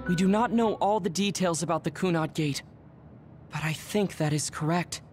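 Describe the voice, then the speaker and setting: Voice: mid-range voice, moderate pace, lightly acted. An actor playing a frank but caring young analyst in a video game, explaining things carefully. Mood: composed. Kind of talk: video game cutscene acting. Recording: clear music behind the voice.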